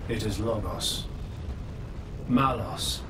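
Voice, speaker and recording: Slow, deep, ancient godlike elder. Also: male voice, noisy background. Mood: grave. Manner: grave, measured, philosophical. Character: ancient, world-weary godlike old man, solemn and resigned. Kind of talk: dramatic video game cutscene acting. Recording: roomy echo, distant, music behind.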